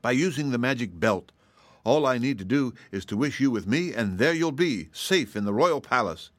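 A man's voice: medium pitch, expressive, moderate pace, dry recording, studio quality, voice pushed up, natural voice. A man voicing a brave, cheerful little girl adventurer who explains things in a confident, matter-of-fact way for a storybook. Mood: cheerfully reassuring.

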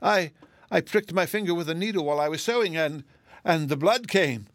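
A man's voice: fairly high pitch, brisk, no echo, voice pushed up, close to an everyday voice. A man voicing a hysterical, weeping woman in a storybook, sobbing and panicking as she frets over tiny harms. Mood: sobbing fear.

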